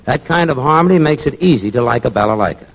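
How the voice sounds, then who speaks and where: A man's voice: medium pitch, lively intonation, fast, noisy background. An American man as a 1940s radio announcer, delivering a scripted introduction with polished, upbeat showmanship. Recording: dull 1940s radio recording.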